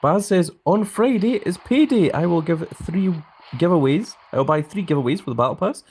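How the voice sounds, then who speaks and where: A man's voice: high-pitched, animated, moderate pace, darkish tone, slightly rough. A young man live-streaming a mobile game, chatting casually and reading viewers' comments aloud with frequent ums.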